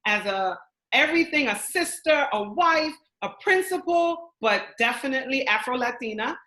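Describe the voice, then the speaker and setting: Bubbly host on a video call. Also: female voice, high voice, expressive, normal speed, bright, forceful tone, reverberant. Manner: enthusiastic, chatty, talks over guest.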